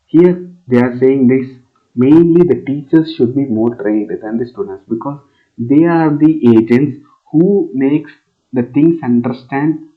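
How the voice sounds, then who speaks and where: A man from India teaching a current affairs lesson for exam students. He fills his talk with pauses and asks "right?" to check the listeners follow. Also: mid-range voice. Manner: many fillers and "right?" checks, repeats phrases while explaining. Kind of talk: exam coaching lecture explaining a news article. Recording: tinny phone-like sound.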